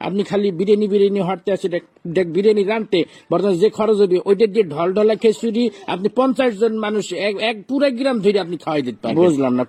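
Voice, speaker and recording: A man playing an exasperated, preachy villager in a comedy argument, husky and insistent. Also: high-pitched, warm tone, dry recording.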